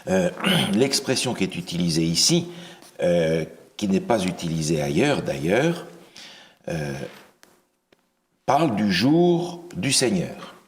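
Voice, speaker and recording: A man preaching a Bible study, asking the listeners questions and pausing on fillers and restarts. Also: deep voice, very animated. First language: not English. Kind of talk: interactive Bible teaching.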